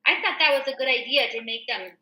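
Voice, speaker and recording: A woman's voice: high-pitched, fast, sharp tone. An American woman hosting a casual show, reading through news items and commenting in an informal, explanatory way. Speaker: show host and real estate agent. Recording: echoey room.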